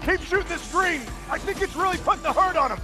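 An actor playing a panicky man hamming it up as a villain in a video game, shouting melodramatically. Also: very high-pitched, fast talker. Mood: frantic. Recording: loud game music behind.

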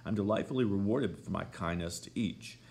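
A man with a deep, gravelly voice voicing a proud, fiery young lady of the manor in an audiobook, scornful and imperious. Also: fairly quick, darkish tone. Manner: scornful, imperious, rising to shrill pleading. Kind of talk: audiobook character voice.

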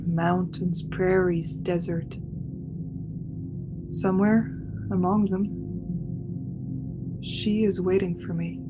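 A woman's voice: mid-range voice, lightly acted, rough recording. An actress playing a haunted young woman traveller in a suspense radio play, with a theatrical, brooding and fraught manner. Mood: bleak, brooding. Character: Ronnie Adams, haunted young woman traveller narrating her ordeal. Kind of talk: suspense radio play acting, dramatic monologue. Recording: music bed under home-recorded radio play.

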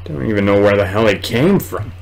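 A young man giving casual, excitable commentary while playing a horror game, muttering and exclaiming to himself. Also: fairly low pitch, brisk, vocal fry. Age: young adult. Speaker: gamer recording a let's play. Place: small indoor room at home.

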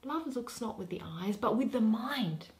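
A young woman reading a play in verse aloud, dramatically and expressively, pausing between phrases. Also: on the high side, animated, darkish tone. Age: young adult. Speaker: reader of a Shakespeare play. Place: small plain room indoors.

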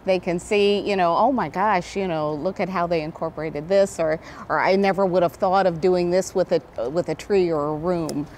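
Lower-pitched middle-aged American woman, interview guest. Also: fairly even intonation, normal speed, hardly any pauses, darkish tone, a little hiss or hum. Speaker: event director, interview guest. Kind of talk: conversational TV interview answers. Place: large indoor event hall. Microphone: lapel mic.

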